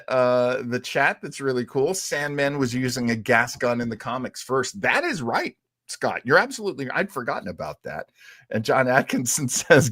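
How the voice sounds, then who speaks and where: A man with a medium-pitched voice talks as a show co-host in a close, dry recording. He rambles along casually like an enthusiastic fan on a live stream. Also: fairly bright tone, no echo. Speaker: co-host of a retro radio show. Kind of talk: casual live-stream chat about old radio serials.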